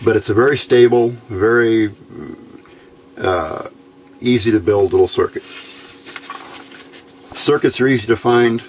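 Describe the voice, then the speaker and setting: A man giving an informal, unscripted hands-on tutorial in a plain-spoken, halting way, recorded indoors at a workbench on a camera mic. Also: medium pitch, slight background noise. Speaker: host of a hands-on electronics tutorial.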